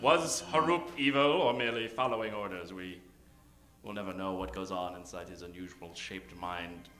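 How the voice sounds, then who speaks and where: A man's voice: sits low, normal speed. A man giving theatrical, improvised narration as announcer in the style of old-time radio. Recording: roomy stage sound, music behind.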